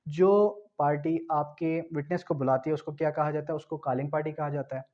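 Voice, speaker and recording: A man's voice: on the high side, fairly even intonation, brisk. A man teaching law for an exam, asking rhetorical questions and repeating key points. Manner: explains with rhetorical questions and repetition. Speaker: law tutor. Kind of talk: exam-prep law lecture. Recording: dull lo-fi recording.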